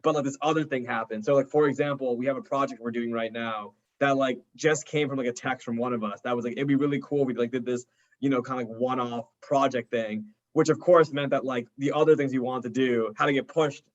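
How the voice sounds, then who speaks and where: A man's voice: mid-range voice, fairly even intonation, fast, highs cut off. A young man in a band chatting casually in an interview, informal and enthusiastic, sprinkling his talk with "like". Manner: enthusiastic, informal, heavy use of "like". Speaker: indie rock band member being interviewed. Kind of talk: casual chat.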